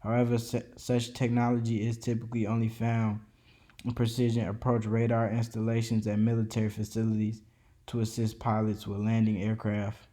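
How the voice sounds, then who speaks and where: A man's voice: lowish voice, monotone, moderate pace, dark tone. A man reading an educational presentation aloud from a script, with occasional slips and restarts. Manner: reads steadily from a script, with small slips and restarts. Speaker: student presenter. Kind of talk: read-aloud educational narration.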